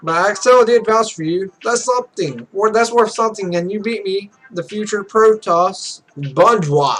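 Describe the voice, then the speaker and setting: A man's voice: high voice, normal speed, fairly bright tone. A man voicing a cocky, grumpy young pro gamer from a visual novel, blunt and brash. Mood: skeptical, cocky.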